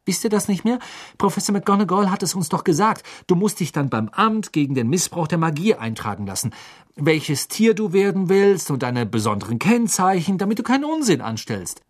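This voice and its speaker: A man's voice: high voice, very animated, fairly quick, voice pushed up. A man voicing a bookish teenage girl, a witch who talks in an earnest, lecturing way.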